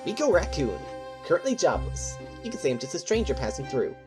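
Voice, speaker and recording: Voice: mid-range voice, very animated, brisk, over music, natural voice. An actor playing a cheerful, cocky male raccoon drifter in an audio drama. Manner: breezy, cocky.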